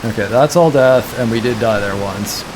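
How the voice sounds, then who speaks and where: A man's voice: mid-range voice, normal speed, background noise. A man from Toronto with a Canadian accent streams a game in casual chat, thinking aloud and correcting himself, his voice tired. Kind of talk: casual let's-play chat.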